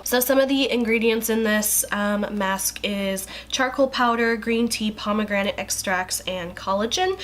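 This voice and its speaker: Congested young woman, chatty skincare vlog. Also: on the high side. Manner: chatty, frequent likes and ums. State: congested from a cold. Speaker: beauty vlogger. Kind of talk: casual product review.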